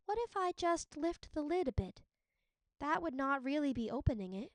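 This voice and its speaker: A woman's voice: high voice, lively intonation, normal speed, dry recording, voice pushed up. A woman voicing a curious, kindhearted young woman from a storybook myth, speaking earnestly. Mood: tempted. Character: curious, kindhearted young mythical woman, easily tempted, remorseful.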